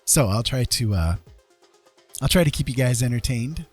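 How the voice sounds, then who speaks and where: A man livestreaming in a casual, rambling and gentle way. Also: mid-range voice, animated, moderate pace. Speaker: live streamer and artist. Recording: music playing behind the voice. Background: music.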